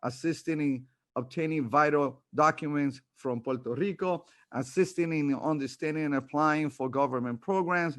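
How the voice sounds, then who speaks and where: A man's voice: a little high, fairly bright tone, no echo, studio quality. A man from the Bronx chairing a city council committee and reading a formal opening statement on a remote video hearing, with occasional restarts. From New York City, Bronx. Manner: reads a prepared speech with occasional restarts. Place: remote hearing from home or office. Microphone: computer mic on video call.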